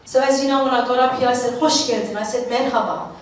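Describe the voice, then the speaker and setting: A woman from Turkey speaks to a conference in a fairly high voice. Her delivery is informal, expressive and heartfelt, like personal storytelling. The sound is distant and heavily echoing, with faint noise. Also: quick pace. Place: large echoey room at a live event. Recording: echoey hall, distant mic, faint noise.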